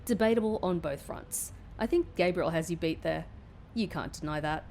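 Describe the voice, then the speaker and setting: A woman's voice: lowish voice, normal speed, a little mellow, slight background noise, lightly acted. A woman playing a wry, stubborn agent recovering from injury in an audio drama, with a dry, defiant manner. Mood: teasing.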